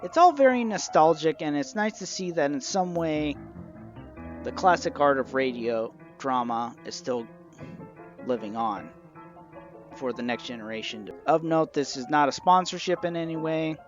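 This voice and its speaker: A man giving an informal review monologue, with somewhat halting phrasing and occasional stumbles. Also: a little high, lively intonation. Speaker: amateur reviewer of old radio shows. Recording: dull lo-fi recording, music behind. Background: music.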